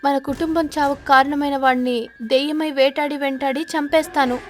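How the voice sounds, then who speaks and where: A woman voicing a frightened, evasive crow husband in an animated children's story, nervous and earnest. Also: sits high, normal speed, soft background music, pitched above the performer's own voice, natural voice. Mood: earnest, defiant.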